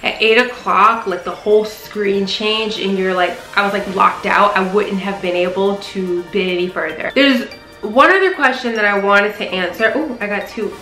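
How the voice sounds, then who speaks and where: A woman's voice: mid-range voice, normal speed, sharp tone, echoey room, faint music behind, low-quality recording. A young adult American woman vlogger chatting casually to camera in an emphatic, conversational way, often checking in with the listener. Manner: emphatic, conversational, punctuated with "right?" check-ins. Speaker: vlogger and flight attendant. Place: small living room, indoors on a sofa.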